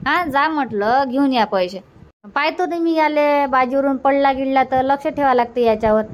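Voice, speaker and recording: कार्टूनमधल्या हुकमी पण काळजी घेणाऱ्या गावाकडच्या मामीला वैदर्भीय मराठीत आवाज देणारी बाई, आग्रहाने बोलणारी. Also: female voice, sits high, moderate pace, natural voice. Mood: bossy. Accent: Vidarbha Marathi. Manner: insistent, repetitive. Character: bossy but caring village wife and aunt. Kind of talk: cartoon comedy dubbing.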